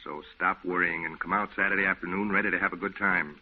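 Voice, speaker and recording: A hearty, folksy friend in a vintage radio play. His voice is low and slightly gravel-rough, with a reassuring, breezy delivery. It comes through thin and muffled, like an old broadcast. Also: fairly even intonation, quick pace. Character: breezy, reassuring male friend, hearty busy office man. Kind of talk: old-time radio drama acting. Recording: muffled, narrow-band old radio recording.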